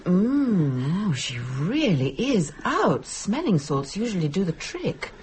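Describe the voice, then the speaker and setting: A woman acting in a radio drama as a brisk, sensible, sceptical British woman, crisp and matter-of-fact. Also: fairly low pitch, big pitch swings, a little mellow. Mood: brisk, practical. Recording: hissy vintage radio recording with clicks.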